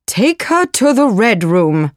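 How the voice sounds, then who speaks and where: Woman acting a cold, slow-spoken stern aunt. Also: mid-range voice, sing-song, no echo, clean studio sound. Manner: curt, commanding. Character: cold, stern aunt, strict mistress of the house. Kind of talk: audiobook character voice.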